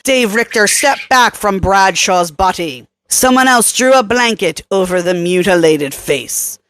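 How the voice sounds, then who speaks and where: A woman storyteller reading fiction aloud in an expressive, suspenseful, dramatic narrating style. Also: mid-range voice, unhurried, dry recording.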